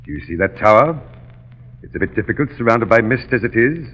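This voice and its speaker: A man's voice: medium pitch, lively intonation, fairly quick, fairly bright tone. A man playing a courtly, ominous uncle of a castle, formal and theatrical, in 1930s radio drama style. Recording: noisy, reverberant 1930s radio recording.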